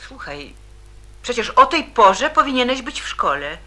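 A woman's voice: a little high, very animated, a little slow, sharp tone, heavily acted. An actress playing a worried, strict mother in a radio drama, questioning with reproach and scepticism. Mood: reproachful.

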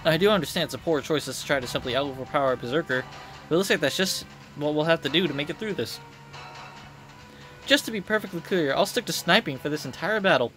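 A man's voice: fairly high pitch, lively intonation, quick pace, a bit bright, soft background music. A man voicing a haughty, fussy, elegant vampire lady from a video game, prim and disdainful. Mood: disapproving. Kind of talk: video game dialogue read aloud.

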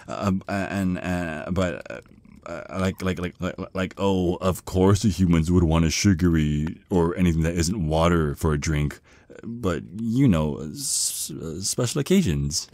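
A man playing a shy, flustered young male vampire on a first date in an ASMR roleplay, speaking with nervous stammers and awkward, halting rambles. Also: low-pitched, a little mellow, no room sound. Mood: apologetic, flustered.

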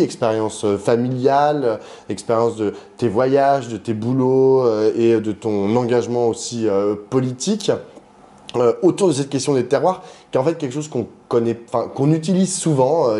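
A man hosting an interview, asking long informal questions in a halting way, with many restarts. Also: mid-range voice, mellow. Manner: hesitant, halting questions with frequent fillers and restarts. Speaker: interviewer and host.

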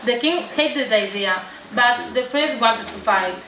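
A woman's voice: a little high, animated, a little slow, pushed and bright. A young woman reading scripted narration for an amateur puppet show. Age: young adult. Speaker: student narrator. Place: indoor room at a day centre. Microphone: far camera. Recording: noisy echoey room, distant camera mic.

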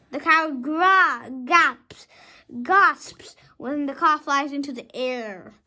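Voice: very high voice, sharp tone. A young child of about five reading a storybook aloud dramatically, sometimes stumbling over words and rereading them.

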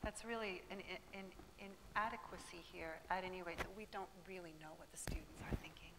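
A woman's voice: fairly low pitch, quick pace, heavy background noise. A woman researcher standing in a lecture room, answering audience questions at a seminar in an earnest, explanatory way. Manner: earnest, explanatory, with light laughs. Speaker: presenting researcher.

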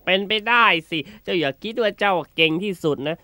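A man's voice: high voice, fairly quick, sharp tone, dry recording, clean studio sound. A man voicing a brave, wily turtle with a confident, teasing manner in a children's fable. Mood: defiant, firm. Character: brave, wily, unflappable turtle who outsmarts bullies. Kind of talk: children's animal fable character acting.

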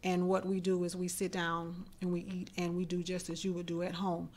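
Earnest lower-voiced woman radio host. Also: completely flat delivery, normal speed, a little mellow, a little hiss or hum. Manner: earnest, reflective. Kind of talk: casual interview chat. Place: small radio studio, indoors. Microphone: desk mic on stand.

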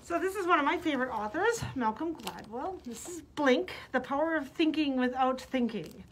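Higher-voiced woman recommending books. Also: animated, moderate pace, a little hiss or hum. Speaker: librarian presenting books. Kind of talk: book recommendation, reading blurbs with casual asides. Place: library room with bookshelves.

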